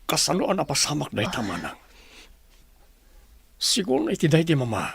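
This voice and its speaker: Gravelly, emotional father. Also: on the high side, huge pitch swings, a little fast, crowd noise, exaggerated voice. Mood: shocked. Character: earnest middle-aged father, a doctor, shaken then forgiving. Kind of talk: radio drama acting.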